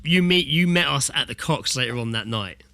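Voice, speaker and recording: A man's voice: medium pitch, normal speed, vocal fry, a little hiss or hum. A British man co-hosting a casual podcast, telling sweary anecdotes and acting out quotes as he goes. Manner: sweary, animated storytelling with acted-out quotes. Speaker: podcast co-host. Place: remote online call from a dry-sounding room.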